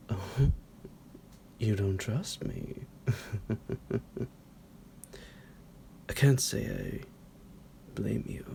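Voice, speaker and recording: A man playing a centuries-old psychotic vampire captor in an ASMR roleplay, possessive and mock-tender, speaking theatrically in a breathy, gravelly whisper that drops into a low creak. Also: darkish tone, full character voice. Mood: coaxing, amused. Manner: slow, theatrical, menacingly affectionate, chuckling. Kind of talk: ASMR roleplay addressed to the listener.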